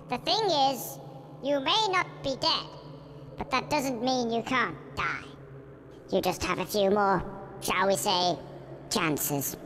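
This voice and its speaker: A man's voice: sits high, noisy background, heavily acted. A man voicing a short, put-upon Grim Reaper in a video game, British, irritable and sardonic as he grumbles and swears. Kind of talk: video game cutscene dialogue.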